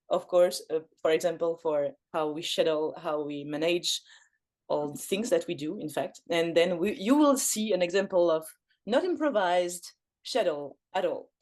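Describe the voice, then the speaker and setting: A woman's voice: on the low side, a little mellow. A woman from Belgium, facilitating an online forum in English, with frequent fillers and restarts. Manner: hesitant, many fillers and restarts. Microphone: video call.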